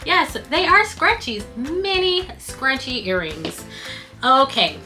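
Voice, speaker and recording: A woman with a bright, forward voice talks through business tips in an easy, chatty way. She is losing her voice, so it wears thin in places, and faint music plays under her. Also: sits high, very animated, takes it slowly. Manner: chatty, reads tips off cue cards while sewing. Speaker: scrunchie business owner and channel host. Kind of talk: casual tutorial vlog.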